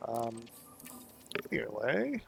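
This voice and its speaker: A man's voice: medium pitch, extremely slow, creaky, slight background noise. Man streaming with a lingering cold. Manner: relaxed, rambling, laughs often, lots of ums. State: getting over a cold. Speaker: streamer playing a space game. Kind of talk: casual live-stream commentary.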